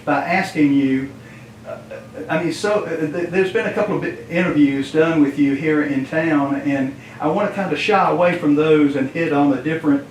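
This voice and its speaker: A man's voice: on the high side, normal speed. A man leads an interview, with a slightly raised voice and plenty of filler words. He sounds far from the mic in an echoey room, and a faint hiss sits underneath. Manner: rambling, lots of filler and restarts. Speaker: interviewer, host. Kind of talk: friendly interview.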